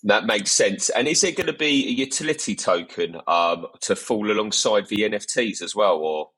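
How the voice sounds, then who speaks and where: A man's voice: fairly low pitch, expressive, moderate pace, a bit bright. A male interview host who sums up his guests' answers and keeps the talk moving with upbeat questions. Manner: upbeat, rephrases guests' answers, asks "yeah?". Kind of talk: casual interview.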